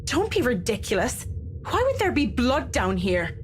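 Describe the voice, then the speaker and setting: A woman's voice: fairly high pitch, very animated, measured pace, a little hiss or hum, voice pushed up. A woman voicing a sceptical, exasperated young heroine of a visual novel, in a grumbling, incredulous manner. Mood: annoyed, sceptical.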